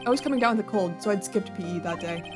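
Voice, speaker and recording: A woman's voice: mid-range voice, fast. A woman reading a game's dialogue aloud, playful, with jokey asides. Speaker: let's play streamer. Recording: game music behind.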